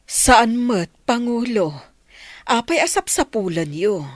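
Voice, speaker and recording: Actress doing forgiving religious mom in a radio drama, swoopy pitch, gentle pleading, dry sound. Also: female voice, very animated, slow pace, no echo, exaggerated voice. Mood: calm. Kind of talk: Ilocano radio drama acting.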